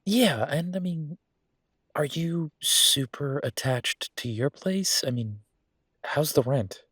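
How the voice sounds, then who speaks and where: A man's voice: a little high, expressive, slow, fairly bright tone, husky, dry recording, clean studio sound, exaggerated voice. A man playing an affectionate young werewolf boyfriend in an intimate audio roleplay, talking in a casual, hesitant, rambling way. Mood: thoughtful, hopeful.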